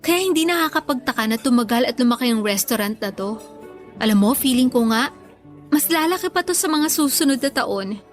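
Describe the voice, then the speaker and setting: A woman's voice: high-pitched, animated, brisk. An actress with a Filipino accent playing an earnest, disillusioned young girlfriend in a radio drama. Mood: fond, relaxed. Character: hurt, disillusioned young girlfriend, a content creator. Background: music.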